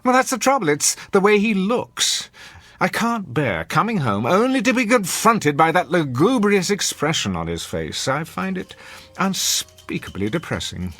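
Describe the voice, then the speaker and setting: A man's voice: high voice, big pitch swings, normal speed, pushed and bright, dry recording, faint music behind, exaggerated voice. A man playing a domineering, sarcastic wealthy husband in a radio drama, speaking with haughty, formal diction. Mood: disdainful. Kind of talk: classic radio drama acting.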